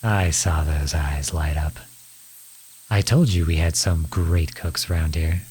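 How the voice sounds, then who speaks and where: Man voicing a kind young outlaw, very low pitch, unhurried pace, gently teasing, intimate ASMR roleplay. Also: fairly even intonation, slightly rough, exaggerated voice. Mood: warmly inviting. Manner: gentle, reassuring, lightly teasing. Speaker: audio roleplay voice actor.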